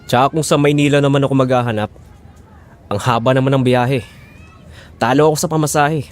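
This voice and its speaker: A man's voice: mid-range voice, fairly even intonation, fairly quick, lightly acted. A man acting as a struggling, steadfast husband and father, earnest and imploring, in an audio drama. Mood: discouraged. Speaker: voice actor.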